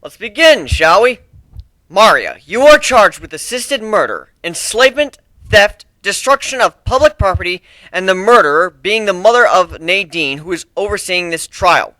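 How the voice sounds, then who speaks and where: A stern griffin prosecutor, played by a man in a fantasy trial story. The delivery is formal, commanding and pointed, with lively swings in pitch and a bright, forceful edge. It is recorded dry and close. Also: normal speed, dry recording, full character voice, rough recording. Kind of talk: dramatic fan fiction reading.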